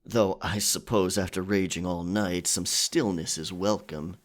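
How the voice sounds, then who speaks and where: A man's voice: deep voice, fairly even intonation, measured pace. A man playing a roguish pirate captain, courteous but firm, with gallant old-fashioned phrasing in an intimate ASMR roleplay. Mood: calm, curious. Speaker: audio roleplay performer. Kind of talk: ASMR audio roleplay, intimate dramatic acting.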